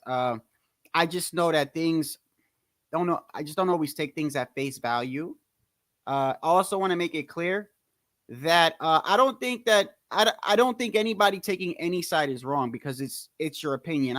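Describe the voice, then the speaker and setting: A man with a New Jersey accent and a high-pitched voice, hosting a casual boxing livestream in a rambling, slangy way, repeating himself often. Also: a bit bright, no room sound, clean studio sound. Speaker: boxing commentary livestream host.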